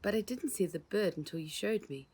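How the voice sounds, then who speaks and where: A woman reader plays a cautious young storybook girl. She is polite and earnest, lifting into a higher pitch with a husky grain and a hesitant manner. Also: animated, fairly quick, mellow. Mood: polite, admiring.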